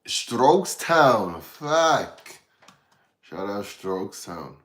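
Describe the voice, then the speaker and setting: A man with a low voice and an Irish accent talks casually to his livestream viewers. He drifts between thoughts in a rambling, distracted way, breaking off to address people in the chat. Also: very animated, very slow, a bit bright. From Ireland, from Dublin. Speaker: livestream host.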